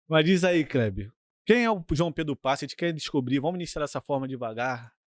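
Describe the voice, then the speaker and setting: A man from Brazil co-hosting a casual podcast, enthusiastic and quick to laugh. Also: a little high, lively intonation. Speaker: podcast co-host. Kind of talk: casual chat.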